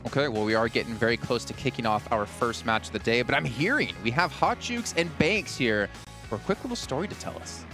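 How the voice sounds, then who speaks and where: A man's voice: medium pitch, music in the background. A man hosting an esports analyst desk, chatty and jokey. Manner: lively, jokey, quick delivery.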